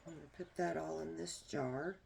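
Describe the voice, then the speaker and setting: A woman with a small, soft voice, demonstrating a herbal remedy in a homey, unhurried way while she works. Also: low voice, steady tone, creaky, noisy background. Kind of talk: casual how-to demonstration.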